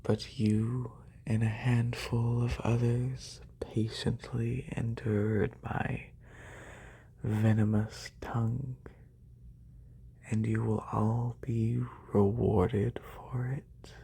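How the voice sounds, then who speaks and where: A man playing a haughty, wealthy lamia noble in a soft, breathy ASMR whisper, slow and deliberate, close to a binaural microphone. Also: medium pitch, flat delivery, heavily acted. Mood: composed, condescending.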